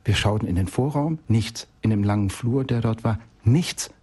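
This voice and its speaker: A man's voice: on the low side, moderate pace, dark tone, breathy edge. A man telling an anecdote as an expert guest in a radio interview, acting out bits of dialogue as he goes. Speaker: expert guest, child-development specialist.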